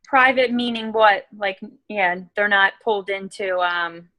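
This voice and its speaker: A woman's voice: mid-range voice, unhurried, bright, forceful tone, a little hiss or hum. A woman co-hosting an online meeting as a team leader, explaining things in a casual, conversational way. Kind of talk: casual explanatory talk on a video call. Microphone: video call.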